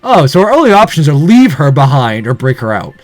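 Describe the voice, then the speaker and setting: A man's voice: a little high, big pitch swings, fairly quick, no room sound, soft background music. A man reading a visual novel aloud dramatically, breaking in with sarcastic, exclamatory commentary.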